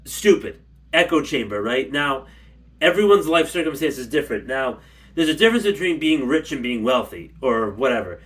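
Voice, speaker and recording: A man's voice: mid-range voice, lively intonation, normal speed, a bit bright. A man ranting casually to his camera at home, rambling and blunt, a little stuffy from allergies. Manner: rambling, blunt, repeats himself. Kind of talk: casual rant. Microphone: camera mic.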